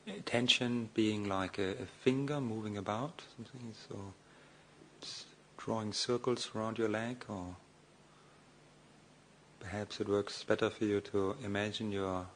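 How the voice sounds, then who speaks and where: Low-voiced man guiding a meditation, noisy recording. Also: fairly even intonation. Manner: slow, hesitant delivery with long pauses. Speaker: meditation teacher, Buddhist monk. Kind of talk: guided meditation instruction.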